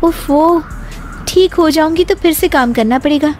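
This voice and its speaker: A woman's voice: high voice, moderate pace, a little mellow, pitched above the performer's own voice, lightly acted. A woman voicing a lazy young wife plotting to avoid housework. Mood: scheming. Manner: sly, scheming asides. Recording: faint music behind.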